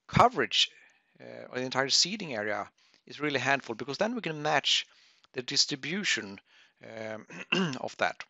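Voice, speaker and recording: Guy doing a webinar software walkthrough, slow n halting, dull dry audio. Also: medium pitch, dry recording. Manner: halting, thinking aloud, frequent throat clearing. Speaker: webinar presenter. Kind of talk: unscripted software tutorial.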